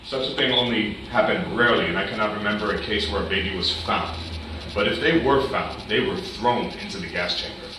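A man's voice: on the low side, fairly quick, bright, forceful tone. A man playing a defensive, evasive Nazi work-detail leader under interrogation in a staged reading. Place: meeting room, staged reading at a table. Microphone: far camera microphone. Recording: very echoey room, far microphone, hiss.

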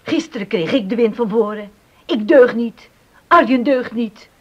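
A woman's voice: on the high side, unhurried, lo-fi sound. An actress playing an outspoken, protective middle-aged farm mother in a radio drama, with an emphatic, plain-spoken manner. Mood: indignant, firm. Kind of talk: radio drama acting in 1989.

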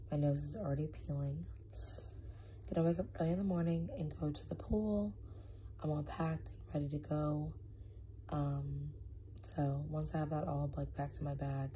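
A woman's voice: low-pitched, a little hiss or hum, rough recording. A young woman in her twenties talking casually into a phone held at arm's length at a resort. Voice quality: raspy in the morning. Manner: soft, half-whispered at night. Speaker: travel vlogger. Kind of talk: casual selfie vlog. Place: hotel rooms and resort grounds.

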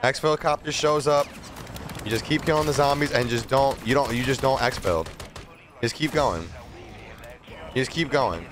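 A young man streaming from his desk, close on the mic, with a middling pitch. He explains the game in casual slang and repeats his points, while clear game noise and gunfire run behind him. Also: normal speed, pauses often, a bit bright. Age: young adult. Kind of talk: casual gameplay commentary and tutorial. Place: small streaming room at a desk. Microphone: close desk microphone. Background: video game gunfire and ambience.

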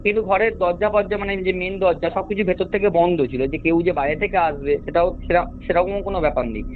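A man's voice: sits high, brisk, a little hiss or hum. A young man with a West Bengali accent, giving a rambling, repetitive, earnest account as a caller over the phone. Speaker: caller recounting strange events at home. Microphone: phone call. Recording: narrow tinny phone line, music behind. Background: music.

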